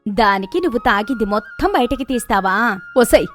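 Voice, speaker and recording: A woman's voice: fairly high pitch, lively intonation, moderate pace, close to an everyday voice. A woman playing a combative village in-law in a comic cartoon, scolding and taunting in Telangana Telugu. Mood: indignant. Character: bickering village mother-in-law and daughter-in-law, brash and combative. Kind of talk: animated comic story dialogue. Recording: music behind the voice.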